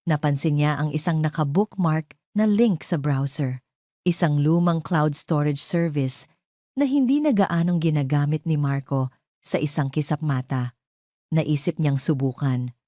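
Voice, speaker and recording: A Filipino woman reads a dramatic audio story in a low, mellow voice. She tells it in a measured, theatrical way, and the recording is close and dry. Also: no room sound. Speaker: narrator.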